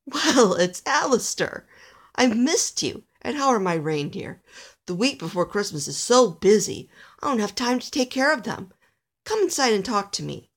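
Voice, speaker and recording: A woman voicing a kindly old male elf in a Christmas storybook, with a hearty, gently coaxing manner. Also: on the high side, very animated, moderate pace, voice pushed up. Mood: cheerful.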